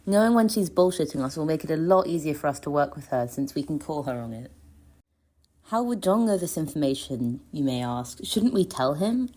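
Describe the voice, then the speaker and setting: Low voiced woman reading out her fan theory pitch, playful n rhetorical. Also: animated, a little fast, dark tone. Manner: playfully rhetorical, poses questions and answers them. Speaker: listener sending in a voice submission. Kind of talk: prepared persuasive fan monologue.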